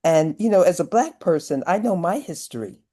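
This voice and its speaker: A woman's voice: on the low side, lively intonation, fairly quick, dark tone. A woman giving earnest, emphatic feedback as an audience member on a video call. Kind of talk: earnest spoken comment.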